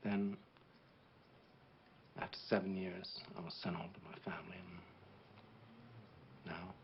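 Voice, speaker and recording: A grave middle-aged war veteran officer, played by a man, speaks slowly and solemnly, like a storyteller addressing a child. His voice sits a little low. The recording is dull and lo-fi, with a faint hiss behind it. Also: close to an everyday voice. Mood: intense. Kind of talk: dramatic monologue performance.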